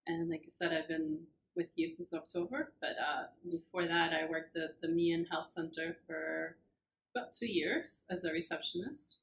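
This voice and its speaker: A woman's voice: low-pitched, fairly even intonation, moderate pace, vocal fry, a bit bright, a little hiss or hum, rough recording. A woman in her thirties from Argentina, answering interview questions in a plain, friendly way with fillers, in a small office. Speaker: church administrative assistant. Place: small church office, indoors.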